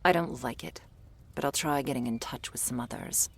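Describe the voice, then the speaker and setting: A woman playing a jaded, prickly monster hunter in a roleplay audio drama, blunt and sardonic, with a low gravelly voice. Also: normal speed, heavily acted. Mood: grudging, weary.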